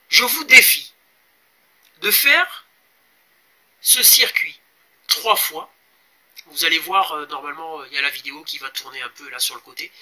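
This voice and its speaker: A man in his forties from Congo, explaining a fitness challenge in a casual vlog, pausing often with fillers and urging viewers to join. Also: fairly high pitch, sharp tone. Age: 40s. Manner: hesitant, many pauses and fillers, urging viewers. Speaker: fitness vlogger.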